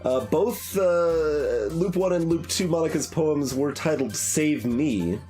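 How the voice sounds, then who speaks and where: Higher-pitched man, casual rambling game commentary. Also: expressive, takes it slowly, mellow, faint music behind. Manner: hesitant, rambling, thinking aloud. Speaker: streamer hosting a playthrough.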